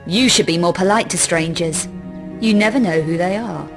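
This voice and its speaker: A woman's voice: lowish voice, normal speed, music in the background, lightly acted. A woman playing a self-assured, threatening young adventurer in a video game, speaking in a cool, dry, sardonic way. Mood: cool, pushy. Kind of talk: video game cutscene voice acting.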